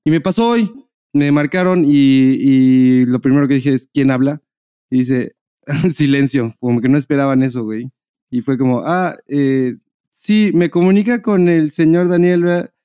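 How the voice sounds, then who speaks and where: A man's voice: a little high, moderate pace, mellow, clear, resonant tone, dry recording, studio quality. A Mexican man hosting a podcast, chatting casually and informally with slangy wording. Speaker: podcast host. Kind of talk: casual conversational chat.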